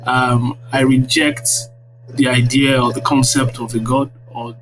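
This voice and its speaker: A man's voice: mid-range voice, level tone, a little slow, music in the background. A man in his thirties with a Nigerian accent, answering interview questions like a lecturer, phrasing hesitantly and checking with tags. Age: 30s. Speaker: guest, university lecturer. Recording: very noisy online audio-room connection.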